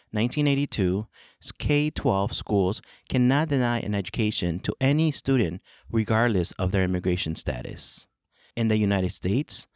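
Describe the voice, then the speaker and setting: A man from Mexico, Spanish his first language, reads his own podcast script in a steady, measured way. His voice sits low. The recording is dry and a bit dull, with no room sound. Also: no room sound. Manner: measured, read-aloud delivery. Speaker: podcast host. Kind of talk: scripted solo narration.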